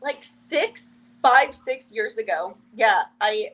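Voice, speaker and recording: A young woman from Ukraine speaks with a Russian accent. She is a comedian guest in a relaxed conversation, wry and joking. She laughs often as she talks. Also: on the high side, slow pace, low-quality recording. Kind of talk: casual interview chat.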